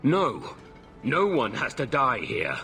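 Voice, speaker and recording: A man's voice: mid-range voice, wildly swooping pitch, takes it slowly, bright, forceful tone, faint music behind, heavily acted. A man playing a principled, weary leader of conspirators in a fantasy game, earnest and firm. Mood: urgent, principled. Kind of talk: fantasy video game acting.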